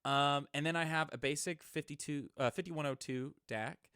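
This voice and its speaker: A man's voice: fairly high pitch, moderate pace, bright, forceful tone, clear, resonant tone, no echo, clean studio sound. A man giving an informal, somewhat hesitant explanation in a casual tech demonstration. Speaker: presenter, electronics hobbyist. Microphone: close microphone into an audio interface.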